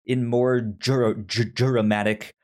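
A man's voice: medium pitch, little pitch movement, slow pace, a little mellow. A man, probably in his thirties, hosting a casual podcast chat, who stammers and repeats syllables as he talks. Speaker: podcast host.

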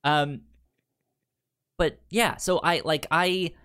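A man co-hosting a casual film discussion, speaking haltingly and often stammering and repeating words. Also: a little high, steady tone, bright, forceful tone, smooth. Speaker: film podcast co-host.

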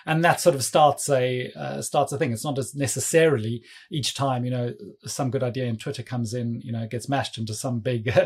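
Husky-voiced South African man. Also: medium pitch, steady tone, moderate pace, hardly any pauses. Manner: chatty, self-deprecating, many fillers. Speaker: guest, developer advocate. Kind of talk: casual podcast interview.

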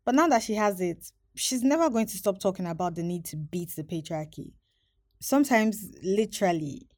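A woman hosting and narrating a documentary podcast in a measured, explanatory way. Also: medium pitch, expressive. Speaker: host and narrator. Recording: soft music bed behind voice.

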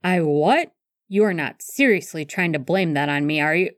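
A sardonic, grumpy young man from a storybook, voiced by a woman. The delivery is blunt and dry, in a low register with a dry, close sound. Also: lively intonation, normal speed, dry recording. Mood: indignant.

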